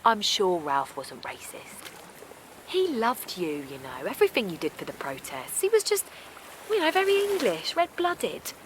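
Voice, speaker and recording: A woman's voice: medium pitch, huge pitch swings, moderate pace. Kindly naive village lady in audio drama, voice swings up and down, defensive, bit noisy recording. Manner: hesitant, colloquial, protesting, repeats herself. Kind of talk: naturalistic audio drama acting.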